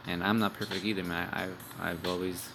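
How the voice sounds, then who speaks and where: A man's voice: sits low, level tone, fairly quick, creaky. A young man giving an informal, rambling street-style sermon, cursing bluntly and tagging his lines with "man" and "all right". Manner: profane, blunt. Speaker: street preacher. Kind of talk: informal religious video sermon. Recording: music playing behind.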